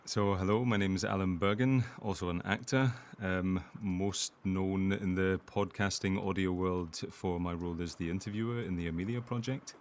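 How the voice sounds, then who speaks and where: Low voiced actor guy chatting casually in an interview, slight hiss of noise. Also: steady tone, moderate pace, few pauses.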